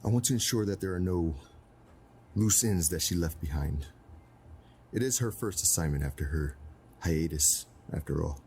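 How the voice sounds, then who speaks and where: A middle-aged crime boss and stern father with a low voice. He speaks in a formal, deliberate, menacing way, cold and domineering, as in a screen drama. There is clear noise behind him. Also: darkish tone. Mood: detached, firm. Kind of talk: dramatic film-style acting. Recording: clearly noisy background ambience.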